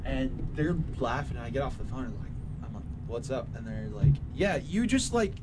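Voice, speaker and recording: Animated Californian young man. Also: medium pitch, moderate pace. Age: young adult. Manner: laid-back skater-boy drawl, animated. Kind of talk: casual chat. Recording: loud background noise.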